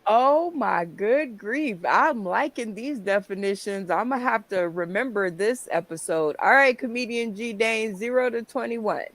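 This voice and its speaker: A woman's voice: fairly high pitch, animated, unhurried, few pauses, no echo. A middle-aged woman hosting a comedy panel, playful and teasing, laughing often. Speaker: host.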